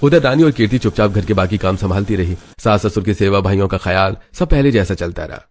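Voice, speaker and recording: Male narrator for animated family melodrama, scripted storytelling, lowish pitch. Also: a little fast.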